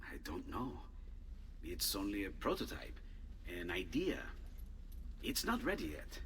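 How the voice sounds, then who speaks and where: An actor playing an excitable, earnest Renaissance inventor with an Italian accent in a video game. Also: male voice, sits low, measured pace, far from the mic, slight background noise, heavily acted. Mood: worried, hesitant. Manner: expressive, earnest, exclamatory. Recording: echoey reverb, music underneath. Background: game music.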